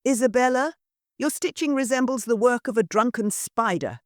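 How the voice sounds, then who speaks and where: A woman's voice: a little high, animated, moderate pace, no room sound, studio quality, voice pushed up, close to an everyday voice. A woman voicing a domineering, snobbish middle-aged mother who shrieks and speaks in a haughty, affected way. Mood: scornful.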